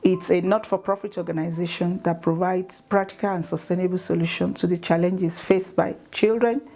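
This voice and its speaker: A woman from Nigeria with a Nigerian accent, speaking earnestly about her charity's work in a measured way, with some fillers and restarts. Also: fairly low pitch, steady tone, dark tone, soft background music. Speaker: charity founder. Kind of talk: promotional talk to camera.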